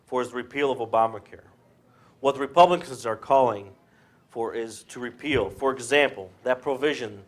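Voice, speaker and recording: A man's voice: medium pitch, natural voice. A young man playing an earnest senator, giving an emphatic, explanatory debate speech in readers theater.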